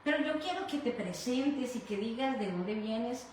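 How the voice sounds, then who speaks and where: A woman's voice: fairly high pitch, animated, moderate pace, a little mellow. Echoey, distant Colombian woman hosting a show. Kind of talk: casual conversational hosting. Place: echoey room in her home. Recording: very echoey room, distant mic, faint noise.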